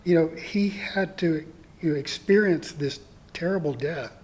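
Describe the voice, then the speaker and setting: A man guest on a theatre podcast with a soft voice, speaking hesitantly with many fillers. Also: a little high, measured pace. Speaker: guest, community theatre actor. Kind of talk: casual interview.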